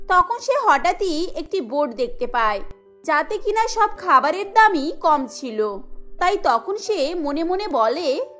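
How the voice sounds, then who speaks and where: High-pitched woman narrator, steady and even, cartoon story.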